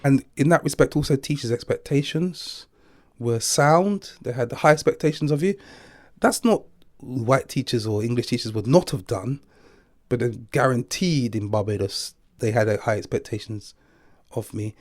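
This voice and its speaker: Older man, reflective, philosophical, middle pitch, dry close recording. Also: normal speed, dry recording. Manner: thoughtful, philosophical, chuckles now and then. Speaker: interviewee. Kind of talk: reflective personal interview.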